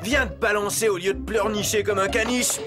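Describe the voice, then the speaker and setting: A man's voice: sits high, steady tone, fairly quick, close to an everyday voice. A man voicing a brash, mocking male stray dog in a children's cartoon, with a slangy, swaggering, taunting delivery. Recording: music under the voice.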